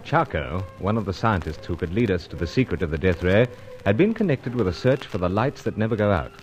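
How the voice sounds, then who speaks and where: An actor playing a suave, resolute British secret agent with a clipped, measured delivery in an old radio serial. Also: male voice, low-pitched, animated, music in the background. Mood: grave, urgent. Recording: noisy old radio recording with clicks.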